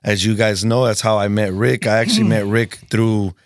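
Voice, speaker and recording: Excitable, foul-mouthed guest with a dry sound. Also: male voice, fairly low pitch, moderate pace, dry recording. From Washington State, USA. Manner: animated, excitable, swears freely. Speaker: podcast guest. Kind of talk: casual podcast chat.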